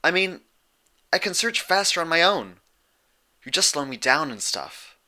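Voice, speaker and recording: Storybook role: brash, defensive pegasus mare, blunt and sarcastic, pitched up, sharp-edged male reader performing. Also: big pitch swings, measured pace, full character voice. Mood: deflated. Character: brash, defensive young female pegasus pony, stubborn and hurt.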